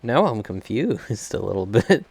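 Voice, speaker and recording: A man's voice: on the low side, sing-song, measured pace, vocal fry, darkish tone, husky, dry recording. A man doing casual gameplay commentary, thinking aloud and chuckling often as he streams a video game.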